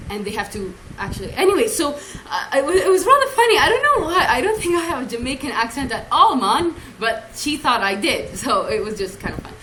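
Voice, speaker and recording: A woman's voice: fairly high pitch, sharp tone, slight background noise, low-quality recording. A young woman telling a rambling, giggly story in a chatty vlog, acting out the voices of the people she quotes. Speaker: vlogger.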